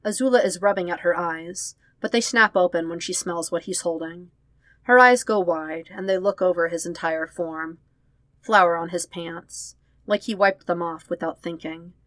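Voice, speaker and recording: Woman, lowish pitch, scripted story narration, dry recording. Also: normal speed, fairly bright tone, no room sound. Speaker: podfic narrator. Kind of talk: scripted fan fiction narration.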